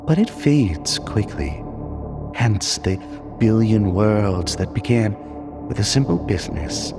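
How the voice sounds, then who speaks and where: A man plays a stern judge of another man's life in a dramatic audio story. The voice is low and deliberate, with wide swings of contempt. Each phrase lands as a slow accusation. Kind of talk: dramatic audio fiction narration.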